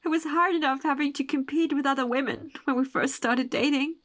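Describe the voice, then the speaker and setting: A woman's voice: high voice, fairly even intonation, brisk, natural voice. A woman playing a distraught, obsessive young woman grieving her lover in a horror radio drama, anguished and pleading.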